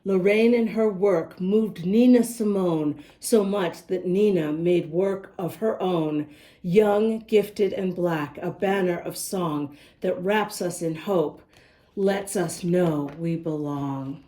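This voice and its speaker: A woman's voice: fairly low pitch, takes it slowly, dark tone. A woman reading a rhyming picture book aloud as host, speaking directly to young listeners. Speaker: children's book reader and host.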